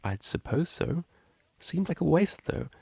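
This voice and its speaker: Proper naive pony lady, played by a guy, polite formal talk, pitched up, storybook character. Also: sing-song, measured pace, vocal fry, warm tone, breathy edge, dry recording, full character voice. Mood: earnest, unsure. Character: prim, polite, naive young female cellist pony.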